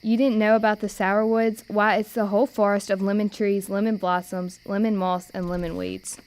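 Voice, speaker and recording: Young woman as a clear-voiced, plucky country girl. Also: mid-range voice, little pitch movement, normal speed, a little mellow, lightly acted. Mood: firm. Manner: plain-spoken, folksy. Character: plucky, determined red-haired girl, older sister. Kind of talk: amateur radio play acting.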